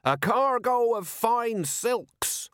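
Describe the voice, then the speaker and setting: A man voicing a blustering, shifty merchant sailor in an audiobook, shouting indignantly in a high, strained voice. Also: animated, very slow, clear, resonant tone, no echo, clean studio sound, heavily acted.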